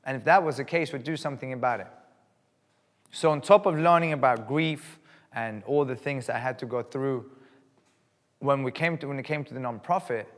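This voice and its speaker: A man from Sweden giving an earnest, emphatic personal speech to a live audience, repeating phrases for weight. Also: medium pitch. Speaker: live event speaker. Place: event hall. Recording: long hall reverb.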